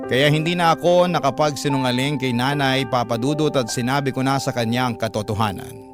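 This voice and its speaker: A man's voice: mid-range voice, fairly quick. A man with a Filipino accent reading a listener's letter in an emotive, theatrical way as a radio drama host. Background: loud background music.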